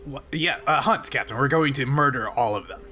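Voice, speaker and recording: A man voicing a gruff older Klingon miner in a tabletop roleplay, blunt, matter-of-fact and unbothered. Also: medium pitch, a little fast, sharp tone, slight background noise, close to an everyday voice. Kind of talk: improvised tabletop roleplay acting.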